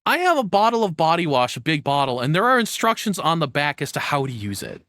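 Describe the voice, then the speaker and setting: A man's voice: fairly high pitch, very animated, quick pace, bright, forceful tone, no room sound, clean studio sound. A man giving casual, off-the-cuff reaction commentary in a rambling, conversational, sardonic way. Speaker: reaction video host.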